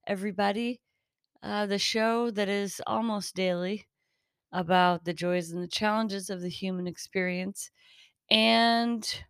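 A woman hosting her own improvised podcast, talking in a chatty, rambling way, her voice raspy and tired, partly lost. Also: mid-range voice, no echo, clean studio sound. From Northern California. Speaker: podcast host. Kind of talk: improvised solo talk. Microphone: close mic.

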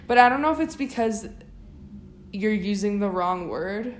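A woman's voice: mid-range voice, lively intonation, normal speed. Young woman, casual podcast co-host, filler-heavy chat; roomy recording, light hiss. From United States. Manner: chatty, many fillers.

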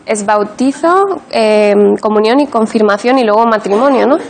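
A woman's voice: a little high, moderate pace. A woman from Madrid, an actress being interviewed on a talk show, explaining things earnestly. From Madrid, Spain. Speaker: actress, guest. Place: TV studio with audience.

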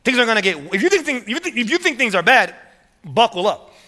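A man preaching a sermon as pastor to his church, energetic and emphatic, often repeating words as he builds to strong points. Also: extremely high pitch, quick pace. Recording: long hall reverb.

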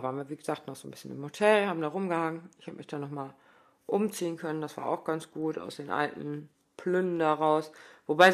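A woman in her thirties talking casually in a spoken diary, rambling with lots of fillers and asides. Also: low-pitched. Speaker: running podcaster.

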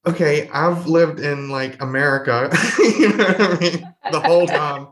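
A man's voice: fairly high pitch, measured pace. A man hosting a podcast, asking casual, inquisitive questions and often checking with "right?". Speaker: podcast host. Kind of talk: interview questions.

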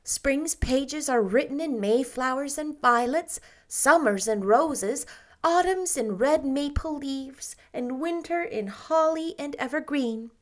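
Effusive dreamy young woman, gushing, lilting, high voice, put on by a woman reader. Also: slow pace. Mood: bright.

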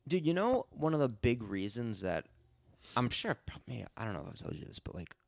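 A young man co-hosting a casual podcast, animated and profane, calling his friend "dude" and "bro". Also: mid-range voice, brisk, creaky, no room sound, studio quality. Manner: animated, swearing, says "dude" a lot. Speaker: podcast co-host. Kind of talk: casual chat.

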